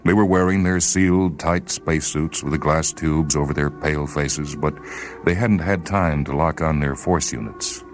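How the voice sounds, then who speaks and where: A man hosting and reading a short story as dramatic, solemn, measured narration. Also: rumbling low, a little hiss or hum. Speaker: host and reader of a radio short story series. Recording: radio reading over background music.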